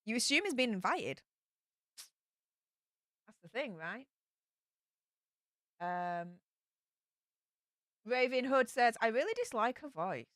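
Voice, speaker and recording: A woman with a broad Yorkshire accent hosts a casual live stream. She reads out viewer comments and chats back at them, and her voice is bright and smooth. The sound is close and dry, with no room around it. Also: on the high side, very animated, slow pace, pauses often, no room sound. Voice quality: broad Yorkshire slang and greetings. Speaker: livestream host reading chat.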